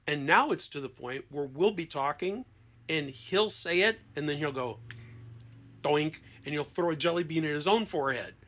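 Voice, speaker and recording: A man who works as a therapist, talking conversationally as a podcast guest and telling anecdotes in an expressive, emphatic way. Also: a little high, moderate pace. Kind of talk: conversational explanation with anecdotes and acted-out quotes.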